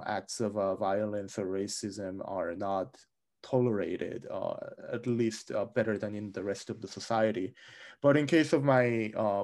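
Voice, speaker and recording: A man with a Korean accent, a research analyst speaking thoughtfully in a panel discussion, pausing often with fillers. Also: lowish voice, a little mellow. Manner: thoughtful, frequent pauses and uh fillers.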